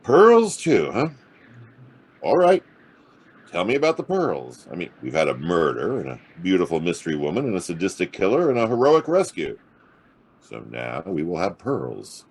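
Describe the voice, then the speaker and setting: An actor playing a hard-boiled, wisecracking private detective in a noir radio drama, with a gravelly, husky voice and a sardonic drawl. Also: male voice, deep voice, huge pitch swings, normal speed, exaggerated voice. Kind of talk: noir radio drama acting and first-person narration.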